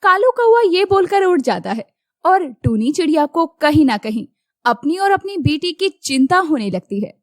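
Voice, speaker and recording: A woman narrating a children's cartoon story in a scripted, expressive storytelling manner. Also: high-pitched, normal speed, no room sound, studio quality.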